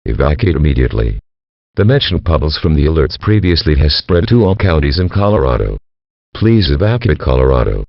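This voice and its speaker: A man's voice: extremely low pitch, big pitch swings, a little fast, gravelly, dry recording. A synthetic-sounding man reading a scripted evacuation alert, formal, stilted and impersonal. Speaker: emergency alert announcer. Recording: dull, dry lo-fi alert audio.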